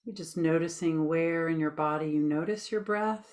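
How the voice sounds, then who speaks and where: Low-pitched woman guiding a meditation. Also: a little mellow. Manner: soothing, unhurried, informal. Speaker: meditation guide, host. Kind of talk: guided meditation, gentle spoken instruction.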